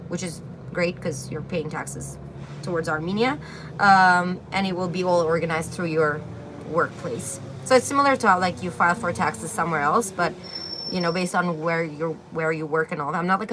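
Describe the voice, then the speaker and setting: A young woman from Syria hosting a casual livestream Q&A, chatting loosely and reading viewer comments aloud, filling pauses with frequent ums and likes. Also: low voice, noisy background. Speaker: livestream host. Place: outdoor balcony with greenery. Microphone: phone camera at arm's length.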